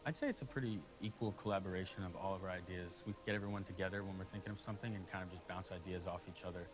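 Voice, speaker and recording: A man's voice: deep voice, fairly even intonation, very fast. A man speaking in laid-back, slangy interview soundbites about his team. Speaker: map-building team member. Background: music.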